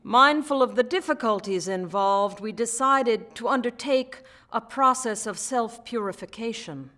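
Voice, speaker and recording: A woman's voice: a little high, a little slow, bright, forceful tone. A woman reading a historic letter aloud as scripted text.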